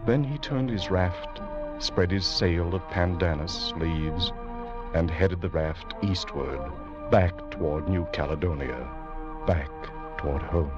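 A man narrating a scripted old radio adventure drama in a measured, dramatic manner. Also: sits low. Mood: solemn, wry. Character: solemn storyteller of a sea adventure tale. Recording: muffled old radio broadcast. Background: loud dramatic music.

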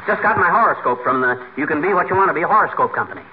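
Muffled 1940s radio comedy: male actor as self-important, easily flustered husband, animated delivery. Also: fairly high pitch, very fast, very noisy, natural voice. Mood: lecturing. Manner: blustering, stammering, self-important. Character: boastful, blustering married man, cheerful and easily flustered.

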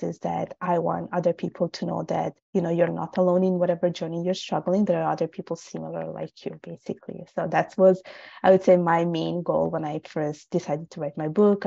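Podcast guest: woman from Italy, gravelly, husky, reflective, halting delivery. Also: fairly low pitch, warm tone, dry recording. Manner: reflective, halting, many fillers. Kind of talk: casual interview talk. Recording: dull lo-fi audio.

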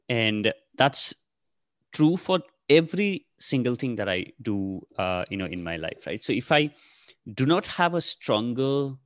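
A man's voice: lowish voice, fairly bright tone, no room sound, studio quality. A man in his thirties from Nepal, whose first language is Nepali, answering interview questions casually with many fillers and restarts. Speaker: podcast host, guest here.

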